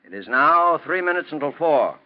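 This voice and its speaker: A man's voice: fairly high pitch, sing-song, normal speed. An actor playing an arrogant, grasping businessman with a curt, menacing manner in 1940s radio drama style. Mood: cold, smug. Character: cold, grasping, arrogant businessman, male villain. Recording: dull old radio recording.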